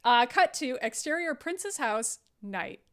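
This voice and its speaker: High-voiced, animated woman narrating a script. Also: unhurried, clear, resonant tone. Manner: dramatic narration broken by wry, playful asides. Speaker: podcast host reading stage directions. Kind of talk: live script table read with casual asides.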